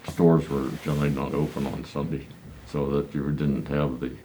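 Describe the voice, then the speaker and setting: A man in his nineties from Pennsylvania, recalling his church childhood in slow, halting answers full of hesitant fillers. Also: extremely low pitch, flat delivery, mellow, slight background noise. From Pennsylvania, United States. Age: 90s. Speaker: elder congregant interviewee. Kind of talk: reminiscing interview answers.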